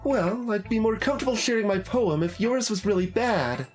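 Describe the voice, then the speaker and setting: A man's voice: high voice, animated, normal speed, soft background music, pitched above the performer's own voice. A man voicing a prickly, defensive, easily flustered teenage anime girl from a visual novel, huffy and petulant.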